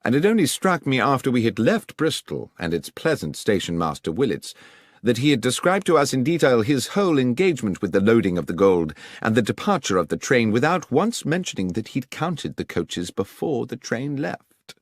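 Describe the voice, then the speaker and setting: A man's voice: medium pitch, very animated, normal speed, no room sound, clean studio sound. A man reading a scripted story as an audiobook narrator.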